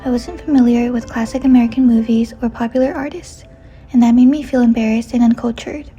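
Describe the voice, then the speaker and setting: Soft-spoken young woman, dark mellow voice. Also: on the high side, fairly even intonation, fairly quick, soft background music. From New York City. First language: Chinese. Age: 20s. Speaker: narrator. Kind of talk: scripted personal narration.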